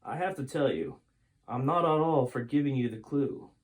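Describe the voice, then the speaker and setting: A man voicing a courteous, frank and cautious older man in a storybook, with emphatic, measured delivery. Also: mid-range voice, darkish tone, natural voice. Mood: grave, frank.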